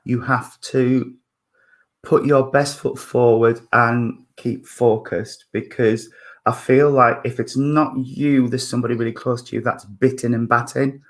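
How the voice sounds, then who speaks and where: A man in his fifties with a husky voice, giving a spiritualist mediumship reading in a halting way, with many fillers and restarts as he thinks aloud. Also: mid-range voice, little pitch movement. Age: 50s.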